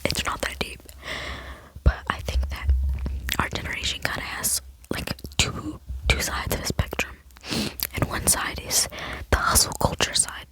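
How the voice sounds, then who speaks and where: A woman's voice: unhurried, poor audio. A woman in her late teens whispering an earnest, rambling ASMR chat into a close microphone. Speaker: ASMR vlogger.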